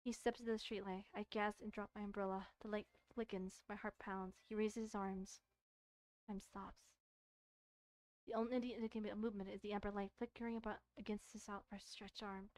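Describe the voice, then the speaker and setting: A woman streamer reading a poem from a game aloud in a slow, dramatic style. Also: medium pitch, level tone, darkish tone, no echo.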